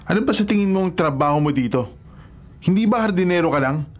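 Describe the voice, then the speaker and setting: An actor with a Filipino accent playing an arrogant, jealous rich fiancé, haughty and threatening, in a radio drama. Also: male voice, sits high, expressive, fast talker. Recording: music bed under the voice.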